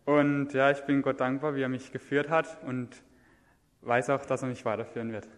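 A young man from Germany with a mid-pitched voice speaks sincerely and gratefully to the congregation. A long church reverb surrounds his voice. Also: flat delivery, moderate pace. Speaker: civilian-service volunteer. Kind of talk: self-introduction at a church service.

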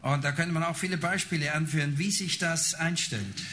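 Bright-voiced male sermon interpreter, halting. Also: on the high side, fairly even intonation, a little hiss or hum. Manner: halting, searching for words. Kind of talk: live consecutive interpreting of a sermon.

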